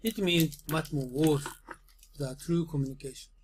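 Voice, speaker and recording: A man from Japan with a Japanese accent, speaking halting, fragmented English as a second language while insistently leading an informal discussion. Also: on the high side, slight background noise. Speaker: interviewer, host.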